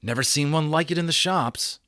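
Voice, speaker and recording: A man's voice: fairly high pitch, brisk, bright, forceful tone, dry recording, studio quality, pitched above the performer's own voice. A man voicing an idly curious teenage older brother with a breezy, offhand manner.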